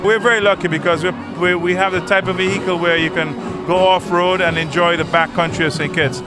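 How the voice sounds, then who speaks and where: A man with a notably high-pitched voice speaks off the cuff as a tour operator being interviewed. Music plays clearly behind him. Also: fairly even intonation, brisk. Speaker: tour operator, award winner. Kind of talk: unscripted interview remarks.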